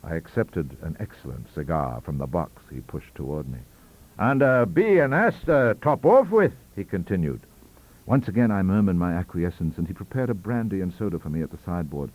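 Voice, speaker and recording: A man's voice: low voice, a little fast, warm tone, husky, low-quality recording. A man reading a ghost story aloud as an audiobook, with theatrical, wry delivery. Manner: theatrical, wry, richly varied. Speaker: audiobook reader. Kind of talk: expressive storybook narration.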